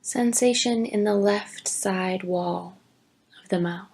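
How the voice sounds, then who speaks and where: A woman teaching yoga nidra, giving gentle, deliberate guided meditation instructions with long pauses. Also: mid-range voice, gravelly, breathy edge. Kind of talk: slow guided meditation narration.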